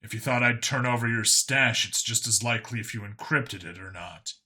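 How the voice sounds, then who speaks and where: A man's voice: medium pitch, normal speed, sharp tone. A man voicing a wary human man in a narrated science fiction story, dry, evasive and a little awkward. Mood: dry, deadpan.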